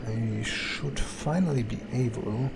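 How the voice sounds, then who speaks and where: A man's voice: mid-range voice, slow pace, vocal fry, background noise. A man thinking aloud in character as a brooding, grumbling vampire during a game playthrough, hesitant and prone to swearing. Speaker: let's player.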